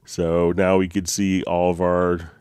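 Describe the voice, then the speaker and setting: Deep-voiced man, congested. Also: completely flat delivery, a little slow, a little mellow, clear, resonant tone, no room sound. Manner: easygoing, with many fillers and restarts. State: congested from a slight cold. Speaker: host. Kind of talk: live software tutorial stream.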